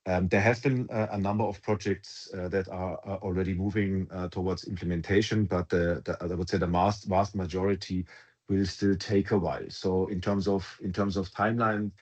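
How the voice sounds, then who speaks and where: A man with a low, level voice answers questions on a company earnings call. He talks in halting stretches, pausing on ums and restarting his phrases. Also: little pitch movement. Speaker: company executive answering analysts.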